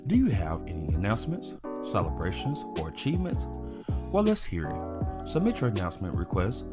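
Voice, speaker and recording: Man, deep voice, lively pitch, church announcements, loud guitar music behind. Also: a little fast. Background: guitar music bed.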